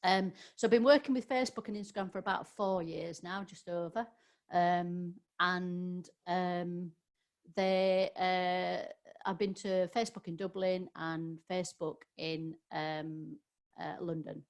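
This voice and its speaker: A woman's voice: on the low side, steady tone, a little slow. A middle-aged woman with a Yorkshire accent, giving a live online training webinar in a chatty way, full of ums and restarts.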